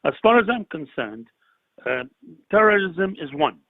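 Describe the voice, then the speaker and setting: A man's voice: high voice, very animated, a little slow, vocal fry, dry recording. Older Iraqi-born man on a tinny phone line. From Iraq. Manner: impassioned, rhetorical, repeats phrases for emphasis. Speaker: caller. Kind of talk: phone-in call. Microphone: telephone.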